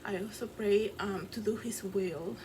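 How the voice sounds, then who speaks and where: A woman's voice: mid-range voice, a little slow. A woman from the Dominican Republic with a Dominican accent, earnestly recounting a dream in a casual, rambling vlog full of fillers, recorded in her apartment. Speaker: vlogger and fashion designer. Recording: clear background noise.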